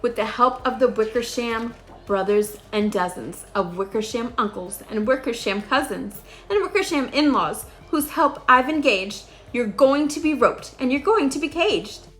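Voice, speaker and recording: A woman's voice: fairly high pitch, expressive, normal speed, fairly bright tone, faint music behind. A woman reading a children's storybook as a big bossy female kangaroo, haughty and scolding. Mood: threatening. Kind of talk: children's storybook read-aloud.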